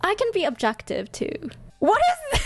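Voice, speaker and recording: A woman giving casual live-stream reaction commentary in an expressive, gushing, slangy fan style. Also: normal speed, no echo, faint music behind. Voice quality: high-pitched voice. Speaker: streamer hosting a ranking of game character trailers.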